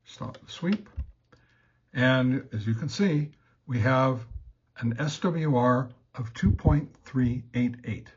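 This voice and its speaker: A man narrates a casual product test as host, in a halting, plain-spoken, step-by-step way. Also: medium pitch, highs cut off. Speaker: host reviewing radio gear.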